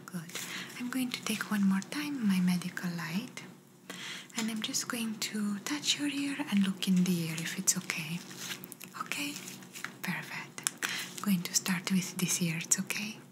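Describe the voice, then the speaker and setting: A woman playing a gentle, reassuring doctor in an ASMR exam roleplay, speaking in a soft breathy whisper with slightly phrasing. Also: mid-range voice, expressive, unhurried, lightly acted. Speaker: ASMR performer. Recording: noisy close-up whisper recording.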